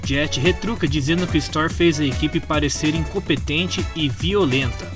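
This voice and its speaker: A man's voice: a little high, moderate pace. A Brazilian man with a Brazilian Portuguese accent narrating a comic story in an informal storytelling style. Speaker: narrator of a fan comic dub. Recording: music behind the voice. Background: background music.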